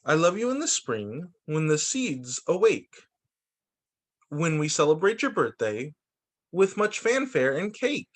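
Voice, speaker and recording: A man who teaches young children, reading picture books aloud in an expressive, sing-song storytime delivery. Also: on the high side, measured pace. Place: remote video call story session.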